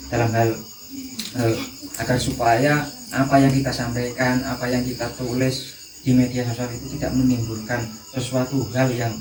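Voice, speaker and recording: A man in his thirties with a Javanese accent, speaking haltingly as a guest in an informal panel talk. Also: mid-range voice. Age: 30s. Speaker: discussion guest. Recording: distant, echoey, noisy recording with insects.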